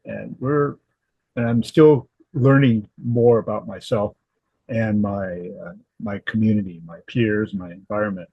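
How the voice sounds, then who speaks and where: A low, husky-voiced man in his seventies from Southern California talks slowly through his thoughts, pausing and restarting often. The recording is dry and muffled, with a dull, narrow sound. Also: steady tone, no echo. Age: 70s. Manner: thoughtful, halting, many fillers and restarts. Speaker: guest, historical society president. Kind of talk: reflective interview answers.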